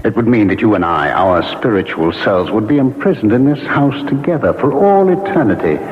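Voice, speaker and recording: An actor playing an earnest, conscience-troubled male ghost in a classic radio drama, with formal, measured, solemn diction. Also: lowish voice, expressive, natural voice. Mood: grave, pleading. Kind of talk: classic radio drama acting, part narration. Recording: lo-fi radio, noisy, long echoing reverb.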